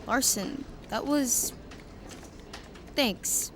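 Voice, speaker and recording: A woman's voice: on the high side, very slow, very noisy. An actress playing a breezy, outspoken teenage schoolgirl in a family audio drama, talking in casual teen slang. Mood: pleading, relieved.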